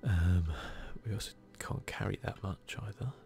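A man softly giving casual ASMR commentary over a video game, often slipping into a whisper, with a self-deprecating manner. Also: low-pitched, normal speed, gravelly, slight background noise. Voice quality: soft-spoken, often whispery. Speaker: gaming video host.

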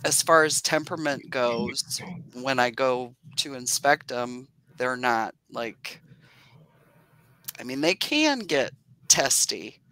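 A woman's voice: rumbling low, expressive, slow, fairly bright tone, low-quality recording. A woman in her forties with a soothing voice, chatting casually about her hobby over a video call and laughing often. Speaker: beekeeper guest. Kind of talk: casual livestream chat. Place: home office.

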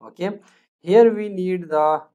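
A man, talking through a screen-recorded coding tutorial in a halting way, with many fillers and restarts as he thinks aloud. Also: high-pitched, warm tone, clear, resonant tone, highs cut off. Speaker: programming tutor.

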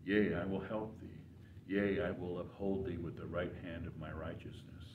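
An older man giving an informal devotional talk to viewers in a folksy, halting, earnest way. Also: low-pitched, fairly even intonation, background noise. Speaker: gospel singer and channel host. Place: church sanctuary, indoors. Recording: roomy, distant, dull sound.

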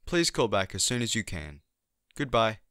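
An actor playing a precise, politely concerned scientist in a radio play, speaking in a stiff, formal, measured way. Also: male voice, on the low side, big pitch swings, very noisy.

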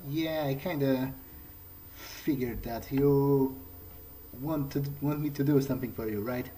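A man's voice: mid-range voice, unhurried, dark tone, slight background noise. A man doing in-character roleplay for a game playthrough, casually and hesitantly musing aloud. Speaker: gameplay video creator.

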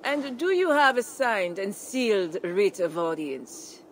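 A woman's voice: mid-range voice, very animated, slow, slight background noise. A prim, officious noblewoman. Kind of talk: video game cutscene acting.

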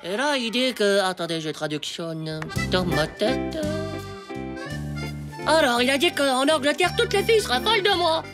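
A woman's voice: fairly high pitch, lively intonation, moderate pace, lightly acted. A woman voicing a brash, boastful, scheming ten-year-old boy in a French cartoon, with a cheeky, slangy, mock-confident way of talking. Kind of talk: French animated cartoon dialogue. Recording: music behind the voice. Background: cartoon music.

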